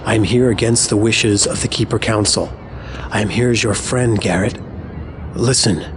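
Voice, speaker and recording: Man acting a low, solemn secretive keeper. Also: normal speed, noisy background, close to an everyday voice. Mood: solemn warning. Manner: solemn, formal. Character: solemn male keeper, a secretive scholarly friend. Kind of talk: video game cutscene acting.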